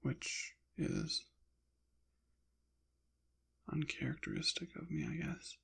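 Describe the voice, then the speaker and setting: Male ASMR voice, unhurried and halting, drifting between gravelly murmur and whisper, apologetic delivery. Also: a little high, steady tone, a bit bright. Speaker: ASMR channel creator. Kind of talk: soft-spoken ASMR ramble, partly whispered.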